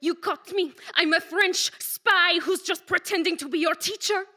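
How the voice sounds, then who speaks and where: A woman's voice: extremely high pitch, moderate pace, bright, forceful tone. A woman playing a perky, over-encouraging classroom teacher in a comedy sketch. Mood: defiant. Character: perky female accent teacher, secretly a French spy.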